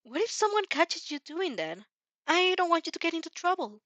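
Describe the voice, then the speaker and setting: A woman's voice: very high voice, sing-song, fairly quick, a bit bright, no echo, pitched above the performer's own voice, exaggerated voice. A woman voicing a shy, caring teenage boy in a fan fiction reading, with a hesitant, earnest, stammering delivery. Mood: worried.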